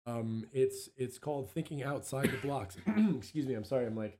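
A hoarse man, a musician guest, talking in a rambling casual way on the radio, with many fillers and throat clearing. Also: mid-range voice, moderate pace, dark tone.

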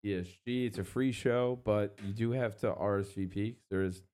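A man's voice: on the low side, fairly even intonation, normal speed, darkish tone. A man hosting a show, chatting casually and plugging events in a laid-back, conversational way. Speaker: radio or podcast host.

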